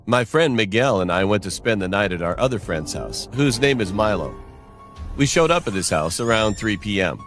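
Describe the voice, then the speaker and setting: A man with a somewhat low voice narrates a personal horror story from a script. Faint music plays behind him as he tells it. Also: animated, moderate pace, fairly bright tone.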